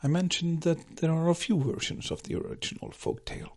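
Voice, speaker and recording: A man with an Icelandic accent hosting a folklore podcast, narrating from a script in a measured, lightly wry way. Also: a little high, fairly even intonation.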